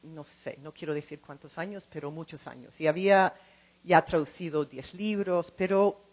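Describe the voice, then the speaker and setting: A middle-aged woman speaking in a second language as she gives an informal talk, halting and repeating words as she searches for the next one. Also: sits low, darkish tone, rough recording. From lives in California. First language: not Spanish, speaks it as a second language. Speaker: translator and program co-director.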